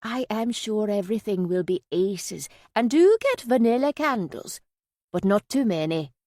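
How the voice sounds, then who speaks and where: A woman's voice: mid-range voice, big pitch swings, unhurried, mellow, no echo, studio quality, pitched above the performer's own voice. A woman voicing a cheery, affectionate Yorkshire woman in an audiobook, effusive and full of endearments. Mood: teasing, reassuring. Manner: chirpy, effusive, uses endearments.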